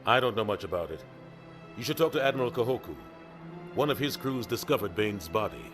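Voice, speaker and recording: An actor playing a world-weary military officer in a video game, matter-of-fact and clipped. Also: male voice, fairly low pitch, very animated, fairly quick, over music. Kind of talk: video game character acting.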